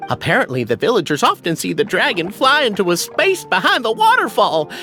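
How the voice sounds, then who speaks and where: A woman's voice: medium pitch, sing-song, fairly quick, a bit bright, faint music behind. A woman voicing a loyal male attendant in a fantasy video game, formal, deferential, earnest and theatrical. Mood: polite, informative. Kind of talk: animated video game character acting.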